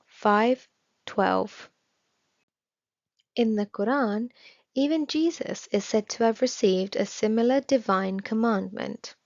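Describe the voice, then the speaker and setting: A woman reading a scripted religious study text aloud in a measured, formal manner. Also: a little high, a little mellow, no room sound. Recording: slightly dull, dry recording.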